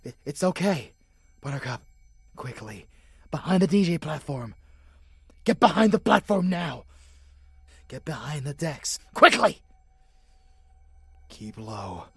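A man playing a tense, protective vampire lover with a husky, breathy voice in an intimate audio roleplay. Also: fairly high pitch, sing-song, slow, long pauses, no room sound, clean studio sound, full character voice. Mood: urgent, hushed.

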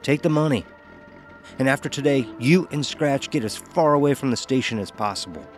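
A man's voice: mid-range voice, lively intonation, brisk, faint music behind, natural voice. An actor playing a haunted, resolute working man in a horror audio drama, terse and folksy in short clipped phrases. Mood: intense, resolute. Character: Elmer Gage, haunted, resolute working man on a grim errand.

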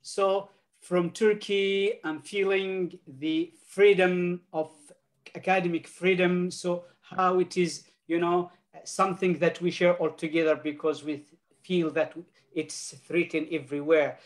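An older man whose first language is Arabic, giving halting, earnest remarks as a panelist on a video call, with many restarts and fillers. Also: sits high, flat delivery.

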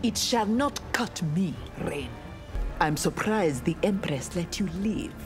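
An actress playing a proud, earnest young armored ninja woman in a video game, speaking in formal, measured, resolute lines. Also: lowish voice, expressive, music in the background. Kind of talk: dramatic video game dialogue.

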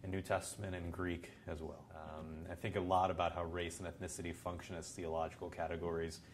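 A low-voiced man in his thirties, born in Puerto Rico and a native Spanish speaker, talks through his story in a seated interview. He is thoughtful and gestures as he speaks in a bright living room. A faint low rumble sits under the recording. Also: level tone, brisk. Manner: thoughtful, gesturing with both hands while speaking. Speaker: seminary professor. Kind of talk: reflective interview answers. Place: living room by a large window.

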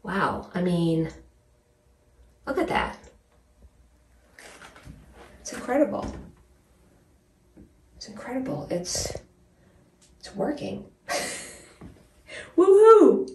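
A woman in her forties chatting casually in an expressive, emphatic way, as a home cleaning vlogger. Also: medium pitch, very slow, long pauses. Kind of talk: casual vlog chat and narration while cleaning. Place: small tiled bathroom, indoors. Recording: roomy bathroom echo, distant mic.

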